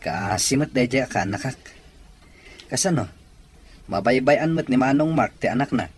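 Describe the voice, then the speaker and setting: A man's voice: mid-range voice, expressive, measured pace, breathy edge. A man playing a kindly, generous uncle in an Ilocano audio drama, paternal in manner. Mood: concerned.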